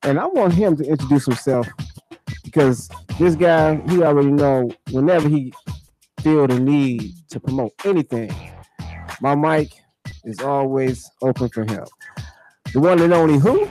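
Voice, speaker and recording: A man's voice: on the high side, normal speed, dark tone, faint music behind, poor audio. An American man hosting a casual radio interview, talking in slangy, hyped-up phrases with lots of repetition. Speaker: radio show host.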